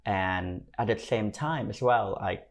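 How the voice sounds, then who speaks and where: Man, forties, low voice, Thai accent, conversational storytelling, interview guest. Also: a little slow, vocal fry. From Thailand. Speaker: podcast guest, fashion stylist and creative consultant.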